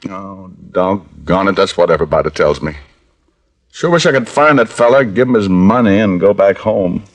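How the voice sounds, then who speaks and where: An actor playing a detective who puts on a bumbling country bumpkin from rural Arkansas, folksy and halting, in a 1950s radio drama. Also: male voice, low-pitched, lively intonation. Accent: put-on rural Arkansas drawl. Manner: halting, folksy, full of uh's.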